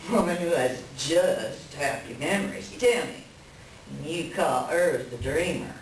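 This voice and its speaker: A woman playing a tender, plain-spoken friend in a staged play reading, gently questioning. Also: sits low, animated, unhurried. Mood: tender concern. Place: library reading before a microphone at some distance. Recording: very echoey room, distant mic, faint noise.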